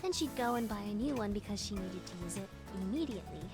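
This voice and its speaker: A woman voicing a confident, calm and slightly aloof young engineer in a visual novel game, speaking in a composed, matter-of-fact way. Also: mid-range voice, fast, music in the background, natural voice. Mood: matter-of-fact, reflective.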